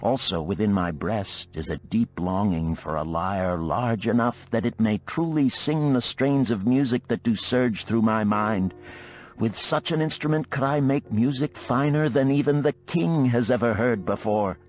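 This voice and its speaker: A man's voice: mid-range voice, unhurried, a little mellow, pitched above the performer's own voice, natural voice. A man voicing a wistful, poor musician of ancient Babylon in a storybook, speaking lyrically in archaic phrases.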